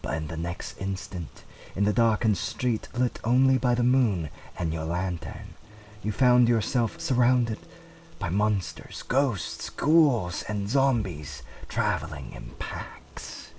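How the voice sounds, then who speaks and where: A man's voice: low-pitched, a little mellow, recorded from a distance, a little hiss or hum. A man narrating a scripted fantasy tale in a dramatic storytelling style.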